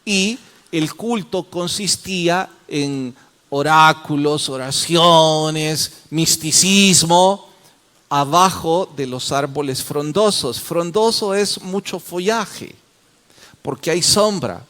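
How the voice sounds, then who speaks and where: Male pastor teaching a class: fairly high voice, unhurried, quizzes listeners by name. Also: a bit bright. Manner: questions the class and calls on students by name; slow, deliberate explanations. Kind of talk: interactive Bible study.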